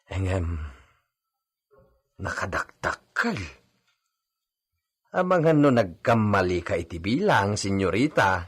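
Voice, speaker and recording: A man playing a concerned household servant in an Ilocano radio drama, with a husky voice. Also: fairly low pitch, sing-song, takes it slowly, pauses often, heavily acted. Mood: curious, concerned. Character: concerned male servant talking with his pregnant mistress.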